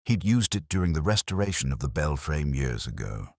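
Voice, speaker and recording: A man narrating as a brooding, weary middle-aged detective, in a measured, reflective and wry way. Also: very deep voice, sing-song, vocal fry, no echo, studio quality, heavily acted. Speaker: first-person narrator. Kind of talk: scripted radio-drama narration.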